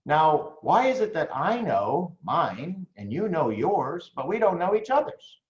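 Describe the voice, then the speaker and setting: An American man delivering a coaching talk with a lively, swinging pitch. He talks conversationally to his audience, tossing in frequent questions. The audio is roomy and a bit dull, like a lo-fi room recording. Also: measured pace. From United States. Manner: animated, conversational, frequent "right?" tags. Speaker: business coach.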